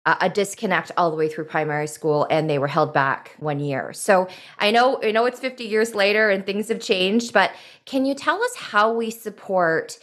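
A woman's voice: mid-range voice, expressive, normal speed, hardly any pauses, a bit bright. A woman hosting an interview in a reflective, conversational, personal way. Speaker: podcast host.

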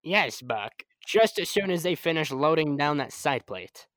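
Man acting excitable inventor doctor, old-time radio serial style, lively intonation, dry close sound. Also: fairly high pitch, a little slow, dry recording. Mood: eager, businesslike. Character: excitable, good-humoured scientist, male doctor and inventor.

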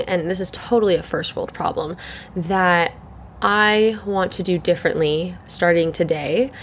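A young woman hosting her solo podcast, chatting in a rambling way full of fillers, her voice croaky and congested from the morning. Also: fairly low pitch, a little hiss or hum. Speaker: podcast host. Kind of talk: casual solo chat.

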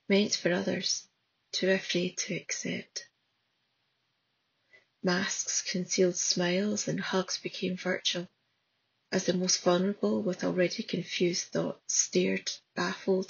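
A reader recites a poem slowly and solemnly in a flat, gravelly, slightly husky voice. The recording sounds dull and lo-fi. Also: mid-range voice. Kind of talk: poetry reading.

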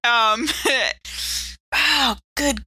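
A woman's voice: on the high side, drawn-out words, pushed and bright, husky. A woman playing in a tabletop role-playing game, moving between casual table talk and improvised dialogue.

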